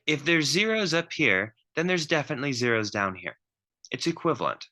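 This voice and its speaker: A man's voice: fairly high pitch, sing-song, a little fast, bright, forceful tone. A man giving a recorded math lecture, thinking aloud with frequent ums and restarts.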